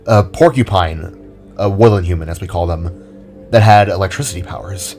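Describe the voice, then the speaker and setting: A man's voice: sits low, normal speed, a little mellow. A man acts out a younger-brother spark creature in an audio drama, an earnest vigilante who is a little self-conscious. Music runs behind the voice. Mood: candid, musing.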